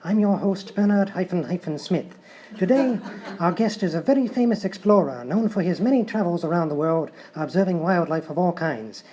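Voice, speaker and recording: Man playing a deadpan English chat show host. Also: high voice, moderate pace. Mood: politely muddled. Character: genteel, muddled English TV talk show host. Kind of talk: live radio-style sketch comedy. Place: live stage show at a hotel.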